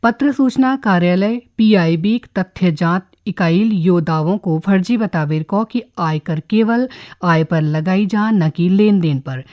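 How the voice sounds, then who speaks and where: A woman with a Kumaoni accent reading a scripted regional radio news bulletin in a formal, measured announcer's manner. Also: medium pitch, dark tone. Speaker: radio newsreader.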